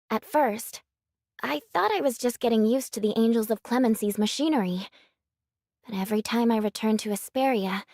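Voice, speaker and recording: An actress playing a subdued young cyborg angel woman in an anime game, soft, serious and a little hesitant. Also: fairly high pitch, no room sound, clean studio sound.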